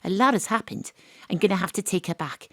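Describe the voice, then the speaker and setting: An actress playing a brisk, wry private investigator, narrating her case in comic noir style for an audio drama. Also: medium pitch, no room sound, close to an everyday voice. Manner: deadpan noir-style narration, punchy questioning.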